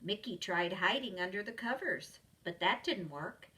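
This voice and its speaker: A woman reading a children's story aloud as a preschool teacher would, with expressive narration. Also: mid-range voice, moderate pace, recorded from a distance.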